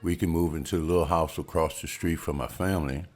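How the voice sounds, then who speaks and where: A man's voice: very deep voice, fairly even intonation, fast talker, darkish tone. A man reading the part of a polite, earnest older suitor courting a young woman at a table read. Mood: tender.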